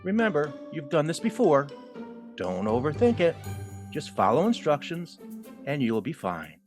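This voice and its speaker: A man's voice: on the high side, huge pitch swings, unhurried, music in the background. A man playing a skeptical, no-nonsense mentor mouse in an audio drama. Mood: instructive.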